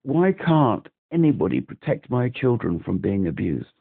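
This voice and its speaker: A man's voice: mid-range voice, very animated, normal speed, vocal fry. A man from Britain calling a helpline, talking in a rambling, agitated way and repeating himself over a phone line. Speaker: caller. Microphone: telephone.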